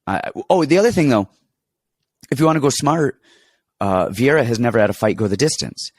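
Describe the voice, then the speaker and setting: A man's voice: mid-range voice, animated, fairly quick, breathy edge, no echo, studio quality. A man co-hosting a casual fight betting chat, congested and rambling, with many restarts as he tells stories. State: congested, getting over an illness. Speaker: podcast co-host and fight coach.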